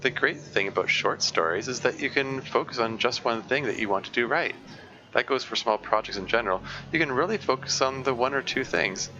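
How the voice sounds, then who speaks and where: A man's voice: mid-range voice, a little fast. A man reading aloud the lines of an upbeat teenage girl club president from a game, giving earnest advice. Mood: encouraging. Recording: muffled lo-fi mic with steady hiss.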